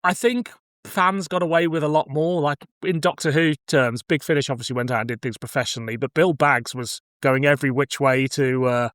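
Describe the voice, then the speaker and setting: A man's voice: fairly high pitch, fairly even intonation, fairly quick, fairly bright tone, dry recording, clean studio sound. A middle-aged man hosting a podcast, chatting casually and giving opinions in colloquial language. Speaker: podcast host. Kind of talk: casual chat about cult science fiction.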